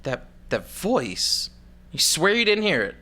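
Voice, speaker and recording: A woman's voice: on the low side, sing-song, unhurried, bright, forceful tone, husky, heavily acted. A woman playing an anxious, grumbling male spaceship pilot in an audio drama, hesitant, whiny and sarcastic.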